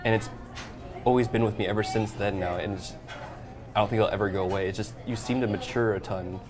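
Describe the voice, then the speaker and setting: A man's voice: lowish voice, fairly even intonation, crowd noise. A man in his twenties, an eager former exchange student talking about his time abroad, recorded on a lapel mic in a small shop. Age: 20s. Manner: eager, talkative, quick restarts. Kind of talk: casual interview talk. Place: small shop with shelves, indoors.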